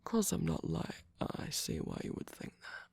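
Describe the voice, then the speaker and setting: Someone doing a flirty teasing villain guy for asmr roleplay, super breathy n gravelly, swoopy pitch, whispers a lot. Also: wildly swooping pitch, dry recording, exaggerated voice. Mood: teasing, persuasive. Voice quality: breathy, husky, often whispering. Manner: coy, teasing, halting delivery.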